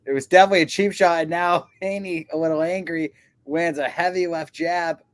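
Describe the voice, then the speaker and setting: A man co-hosting a casual watch-along, calling the fight's action in a relaxed way and chuckling as he goes. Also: fairly high pitch, brisk. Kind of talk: casual play-by-play sports chat.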